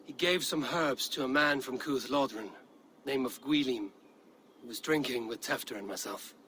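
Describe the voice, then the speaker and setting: Stern, bright-voiced Norse warrior played by a man. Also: medium pitch, moderate pace, a little hiss or hum, natural voice. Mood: earnest. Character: proud, blunt Norse warrior seeking to regain honor. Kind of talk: dramatic video game acting.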